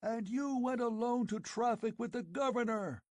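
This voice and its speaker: Shrieky furious old chief, way up high, loud and frothing. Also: male voice, animated, measured pace, dry recording, clean studio sound. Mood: furious accusing. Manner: shrill, accusing, frenzied shouting.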